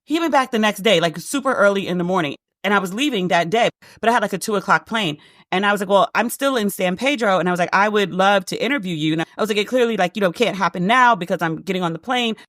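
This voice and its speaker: A woman with a deep voice hosting a casual solo podcast, telling a story and acting out the dialogue, with "like" all through her speech. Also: quick pace, fairly bright tone, no echo, clean studio sound. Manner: chatty, heavy use of "like", acts out quoted dialogue. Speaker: podcast host.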